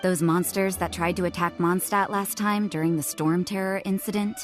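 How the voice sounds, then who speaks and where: A woman playing a jaded, cold, unsociable woman in a video game, with a dry, curt, deadpan delivery. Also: on the low side, normal speed, faint music behind, close to an everyday voice. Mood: jaded, indifferent. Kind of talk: video game character acting.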